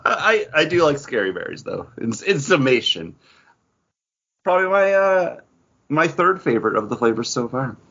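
A man's voice: a little high, big pitch swings, moderate pace, bright, forceful tone, dull audio. A man chatting casually as a playful, joking co-host of a pop culture podcast. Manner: playful, jokey.

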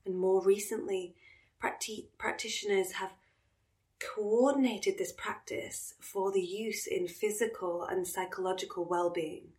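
Slow, soothing woman guiding meditation. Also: mid-range voice, fairly even intonation, darkish tone. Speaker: yoga nidra meditation guide. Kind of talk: guided relaxation meditation.

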